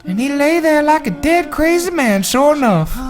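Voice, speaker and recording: A woman's voice: high voice, animated, pitched above the performer's own voice. A woman playing a folksy female survivor, recounting a horrific event in a colloquial dramatic reading. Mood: horrified, ominous. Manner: folksy, colloquial, drawn-out storytelling. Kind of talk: dramatic reading of a first-person eyewitness account.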